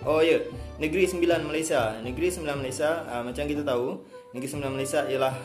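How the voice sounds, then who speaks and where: A man from Indonesia, explaining a topic in a casual talk to camera, often pausing with filler sounds and restarts. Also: fairly high pitch. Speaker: video host. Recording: echoey room with music behind.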